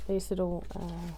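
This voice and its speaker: A woman's voice: fairly low pitch, dark tone, a little hiss or hum. A woman casually talking through a craft project at home, rambling with lots of ums and little self-deprecating asides. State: voice just recovered from loss. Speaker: craft journaling vlogger.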